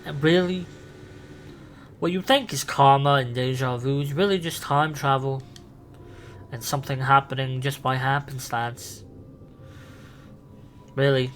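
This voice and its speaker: A man playing a weary, haunted time-travelling alien adventurer and mentor in a sci-fi roleplay, speaking earnestly with halting, emphatic phrasing. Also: medium pitch, steady tone, a little hiss or hum, natural voice. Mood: playful, musing.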